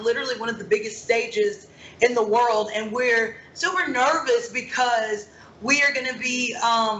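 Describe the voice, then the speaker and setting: Brief: eager woman from Mississippi, bright and forceful, echoey and a bit muffled. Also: on the high side. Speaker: country singer, guest. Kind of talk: casual interview.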